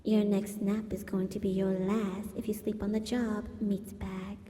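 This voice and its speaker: Slow, purring villainous spider robot woman, voiced by an actress. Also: mid-range voice, flat delivery, mellow, natural voice. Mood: imperious, taunting. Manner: slow, purring, haughty and taunting. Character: villainous female spider robot, seductive, menacing captor. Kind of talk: ASMR roleplay acting. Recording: echoey hall-like reverb.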